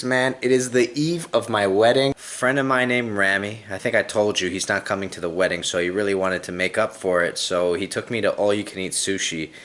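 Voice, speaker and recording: Low-voiced man, soft and reflective. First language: Greek. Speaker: vlogger. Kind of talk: personal vlog.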